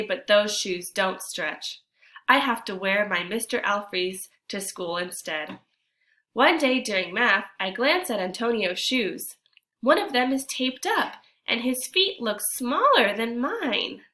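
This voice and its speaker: A woman teacher reading a storybook aloud to children, expressively, pausing to ask her young listeners guiding questions. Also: on the high side, sharp tone.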